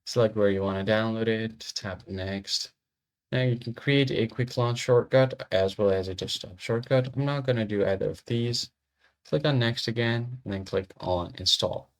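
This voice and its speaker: A man presenting a software tutorial, giving casual step-by-step instructions. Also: lowish voice, moderate pace. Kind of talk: step-by-step screen tutorial narration.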